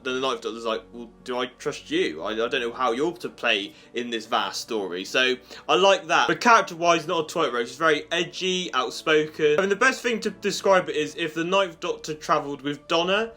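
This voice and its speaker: A man talks to his viewers in a casual review style. His voice sits a little high and has a bright, forceful edge. He is chatty and enthusiastic, with faint music playing behind him. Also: fairly quick. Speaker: YouTube reviewer and host. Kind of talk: casual review of an audio drama, spoken to viewers. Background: music under the voice.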